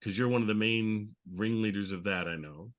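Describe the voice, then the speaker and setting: A man's voice: on the low side, moderate pace. A man hosting a casual group chat, talking in an enthusiastic, rambling way. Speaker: show host. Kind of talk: casual group chat about old radio.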